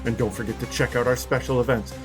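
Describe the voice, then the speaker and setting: A man's voice: mid-range voice, steady tone, quick pace. A man reading a scripted ad in an upbeat, salesy way. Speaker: ad announcer. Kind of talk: scripted radio ad read. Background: music bed.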